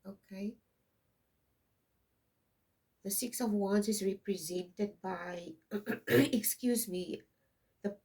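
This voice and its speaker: A woman's voice: mid-range voice, warm tone, far from the mic, low-quality recording. A South African woman in her fifties whose first language is Afrikaans, giving a casual tarot reading in a rambling way and often checking in with "okay?". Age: 50s. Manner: rambling, tags many lines with "okay?". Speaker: tarot reader. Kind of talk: casual intuitive card reading.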